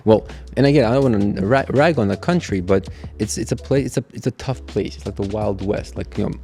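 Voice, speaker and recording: Gravelly low-voiced man from Russia. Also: a little fast, darkish tone. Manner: reflective, candid storytelling. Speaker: guest, catering business owner. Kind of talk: casual podcast conversation.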